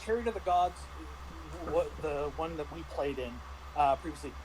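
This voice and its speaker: A man speaking casually and haltingly as a guest game master, often repeating words as he explains. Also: a little high, lively intonation. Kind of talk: casual chat reviewing a tabletop game. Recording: clearly noisy ambient background.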